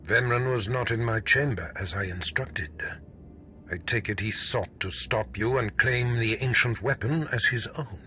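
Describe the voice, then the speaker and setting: Slow, forceful dark master. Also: male voice, medium pitch, faint music behind. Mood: pleased. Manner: pompous, grandiose. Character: imperious, gloating male Sith master, mentor villain. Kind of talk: video game cutscene acting.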